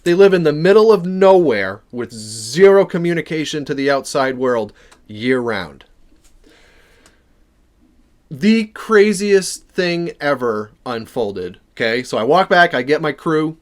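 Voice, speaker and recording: A man with a slightly high voice tells a personal story to his viewers. He is casual and animated, slipping into quoted dialogue as he recounts things. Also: measured pace. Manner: acts out dialogue within the story. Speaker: video creator telling a personal story.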